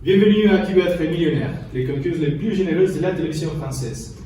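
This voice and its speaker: A man's voice: a little high, fairly quick. A man acting as game show host, presenting a staged quiz, recorded in a distant, noisy, echoey room. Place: large echoey room, far from the microphone.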